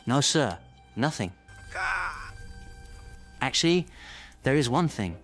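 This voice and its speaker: A man's voice: medium pitch, very animated, slow, fairly bright tone, faint music behind, full character voice. A man playing a brilliant, troubled time-traveller in an audio drama. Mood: hesitant.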